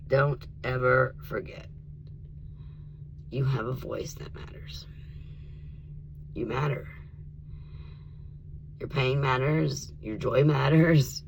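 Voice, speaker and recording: A man from Tennessee, his voice rough and in need of rest, talking casually to his viewers and often dropping to an earnest whisper. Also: mid-range voice, fairly even intonation, takes it slowly, long pauses, background noise. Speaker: vlogger. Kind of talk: casual spiritual vlog and storytime.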